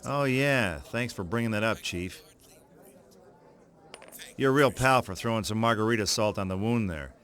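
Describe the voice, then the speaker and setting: An American man playing a cocky, sarcastic, hard-boiled monster slayer in a video game, with deadpan swagger. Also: lowish voice, normal speed, pauses often, lightly acted. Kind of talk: video game voice acting, wry narration.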